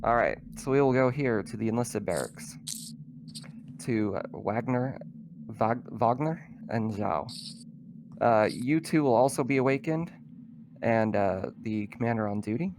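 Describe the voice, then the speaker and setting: A man narrating a tabletop roleplaying game as game master, in an informal, halting way. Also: medium pitch, background noise.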